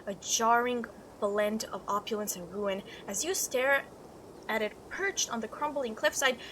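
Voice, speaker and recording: A woman reading a visual novel aloud as a let's player, expressive and reactive, with chatty asides. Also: fairly high pitch, a little hiss or hum, rough recording. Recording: music behind the voice. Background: game music.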